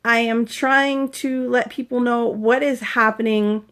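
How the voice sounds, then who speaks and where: A chatty, earnest woman in her forties from Canada, with a voice sitting a little high. She talks casually to her viewers as a fitness coach, now and then breaking off to greet people brightly. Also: steady tone, unhurried, smooth. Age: 40s. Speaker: fitness coach sharing concussion recovery. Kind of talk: casual live-stream vlog, greeting viewers.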